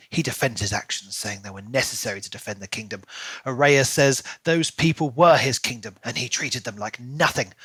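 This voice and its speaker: Bright-voiced man co-hosting a book podcast. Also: medium pitch, husky, no echo. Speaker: podcast co-host. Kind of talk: casual book chat and reading a written plot recap.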